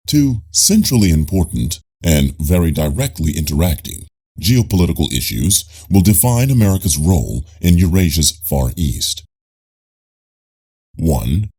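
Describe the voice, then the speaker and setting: Male narrator, low husky voice, lively intonation, formal measured nonfiction audiobook reading.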